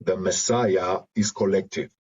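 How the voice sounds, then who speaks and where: Slow, low-voiced Argentine man on a muffled call. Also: fairly even intonation, darkish tone. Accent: Argentine Spanish. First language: Spanish. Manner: halting, searching, explains in short phrases. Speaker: interview guest, creative and therapeutic facilitator. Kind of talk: reflective interview talk. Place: online video call. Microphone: video call. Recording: dull lo-fi video call audio.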